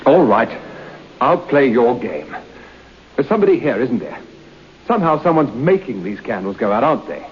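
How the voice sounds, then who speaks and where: An actor playing a proud, unnerved man trapped alone in a dark room, muttering to himself with forced bravado in an old-time radio drama. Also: medium pitch, big pitch swings, normal speed, long pauses, close to an everyday voice. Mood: defiant, uneasy. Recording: dull, noisy old radio broadcast.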